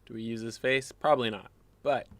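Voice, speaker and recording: A man's voice: mid-range voice, fairly even intonation, brisk, dry recording. A man, a little phlegmy, designing live and musing aloud in a rambling casual way with fillers and restarts, at a desk mic in his home workspace. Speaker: graphic designer streaming his work.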